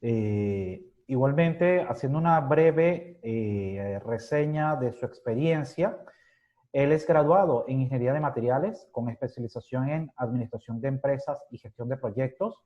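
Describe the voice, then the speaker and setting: A man's voice: mid-range voice, moderate pace, mellow, dull audio. A man moderating a webinar, giving a polite, formal introduction of the speaker with a few fillers.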